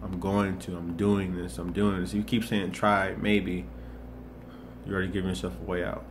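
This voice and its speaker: A man's voice: sits low, little pitch movement, normal speed, background noise. A man in his twenties talking earnestly in a rambling motivational vlog, restarting and repeating himself often. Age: 20s. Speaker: motivational vlogger. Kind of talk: casual motivational monologue.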